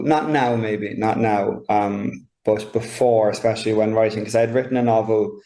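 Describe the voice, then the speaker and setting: A man's voice: lowish voice, dark tone, roomy sound. A man with an Irish accent, a novelist speaking reflectively in an interview, often searching for his words. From Ireland. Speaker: novelist guest. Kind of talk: conversational author interview.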